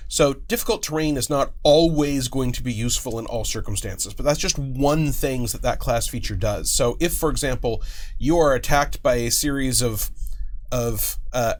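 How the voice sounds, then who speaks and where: A man's voice: medium pitch, moderate pace. A middle-aged man giving methodical explanations in an interview, working through hypothetical examples. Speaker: game designer, guest. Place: small curtained studio, indoors.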